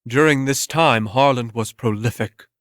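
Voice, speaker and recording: Male storyteller, medium pitch, scripted dramatic narration, very dry close recording. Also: measured pace, a bit bright, dry recording.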